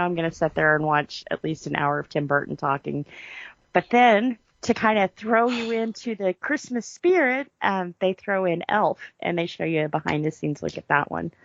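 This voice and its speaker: A dull, slightly lo-fi recording of a woman with a fairly low voice. She chats casually as a podcast co-host, telling things in an informal, chatty way. The sound is close and dry, with little room to it. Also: dry recording. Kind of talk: casual chat.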